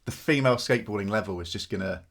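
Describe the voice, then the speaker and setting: A man's voice: on the low side, rapid-fire, a bit bright. A man hosting a podcast, asking questions in casual, conversational interview style.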